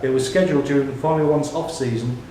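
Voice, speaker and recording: A man's voice: medium pitch, fairly even intonation, fast talker, mellow. A man acting as quizmaster, reading out questions in a scripted, question-and-answer style. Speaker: quiz question reader and host. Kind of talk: reading quiz questions aloud. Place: roomy pub during a quiz tournament. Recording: echoey pub room with crowd chatter.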